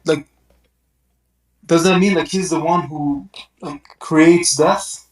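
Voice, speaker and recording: Arabic-native guy calling in, kinda high voice, echoey room, lots of likes and ums. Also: fairly even intonation, takes it slowly. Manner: hesitant, lots of fillers. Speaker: caller.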